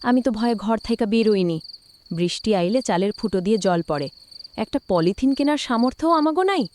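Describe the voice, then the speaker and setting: A woman as a high-voiced, resilient village wife. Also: animated, quick pace, a little mellow, a little hiss or hum, close to an everyday voice. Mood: anxious, resolute. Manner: anxious yet encouraging. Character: poor but resilient, supportive village wife. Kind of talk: cartoon drama dialogue.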